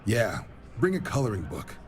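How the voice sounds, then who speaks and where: An actor playing a breezy, wisecracking space navy officer in casual, joking video game dialogue. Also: male voice, on the low side, huge pitch swings, moderate pace. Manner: casual, joking, clipped sentences.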